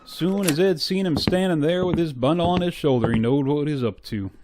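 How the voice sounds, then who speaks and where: An actor playing a folksy, loyal, plain-spoken frontier deputy with rustic grammar in a western radio drama. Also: male voice, a little high, brisk, noisy background, close to an everyday voice. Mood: folksy, earnest. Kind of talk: old-time western radio drama acting.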